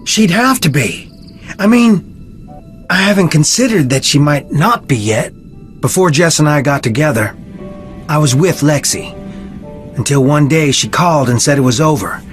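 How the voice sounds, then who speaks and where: A man's voice: fairly high pitch, expressive, a little slow, long pauses, faint music behind. An actor playing a conflicted, defensive young man in a video game, earnest and guarded. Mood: defensive, torn. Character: conflicted, defensive young fiancé of a missing woman.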